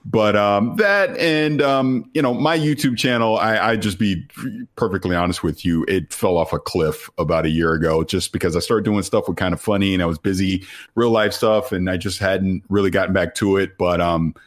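A man's voice: low voice, normal speed. A man guest on a gaming podcast, easygoing and appreciative as he talks. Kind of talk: casual podcast chat.

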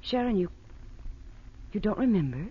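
Casting: cold female schemer in 1940s radio crime, coaxing, very animated, on dull vintage audio. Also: mid-range voice, normal speed, slightly rough. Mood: falsely soothing. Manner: coaxing, calls people darling and honey. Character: cold, scheming blackmailer woman, sweetly manipulative. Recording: muffled old radio recording.

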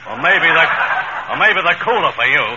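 An actor playing a gruff, sarcastic motorcycle police sergeant in 1930s radio comedy style. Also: male voice, a little high, lively intonation, fairly quick, lightly acted. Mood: sarcastic threatening. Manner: bossy, sarcastic. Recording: muffled old radio broadcast, noisy.

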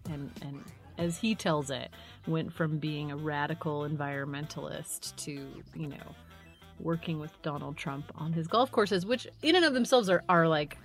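A woman's voice: deep voice, moderate pace, faint music behind. A woman co-hosting a podcast, talking casually in a loose, rambling way with trailing phrases. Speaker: podcast co-host. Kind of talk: casual conversational talk.

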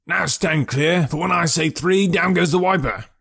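A man voicing a cranky, lanky old male animal keeper who yells threats and then chats fussily. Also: on the high side, moderate pace, gravelly, slightly rough, voice pushed up, full character voice. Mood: angry, shouting. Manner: shouting threats, then fussy and chatty.

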